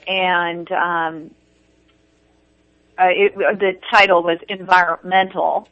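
A woman chatting as a guest on talk radio, halting, with lots of ums and restarts. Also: fairly low pitch, flat delivery, dry recording. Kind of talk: casual talk radio chat. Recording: dull dry lo-fi studio sound.

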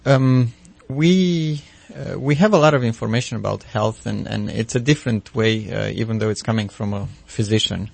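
A man in his thirties with a Romanian accent, a physician guest speaking haltingly in a casual radio interview, full of ums and restarts. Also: fairly low pitch, vocal fry, darkish tone, no echo.

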